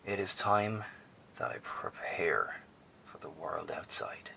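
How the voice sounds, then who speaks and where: An actor playing a professor who broadcasts to survivors from a bunker, speaking formally and gravely, often dropping to a hushed tone. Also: male voice, sits low, whispered, heavily acted. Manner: measured, formal, hushed at times. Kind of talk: horror audio drama acting.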